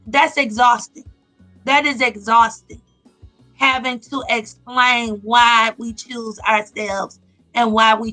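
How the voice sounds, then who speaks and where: A woman's voice: a little high, bright, forceful tone, low-quality recording. A woman from Dallas, a guest on a casual radio show, talking in a chatty, emphatic way. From Dallas, Texas.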